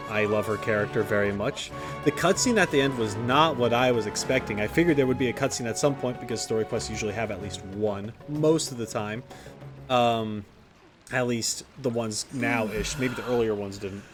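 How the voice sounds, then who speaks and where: A man giving casual, rambling video game commentary as a streamer. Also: medium pitch. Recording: music playing behind the voice.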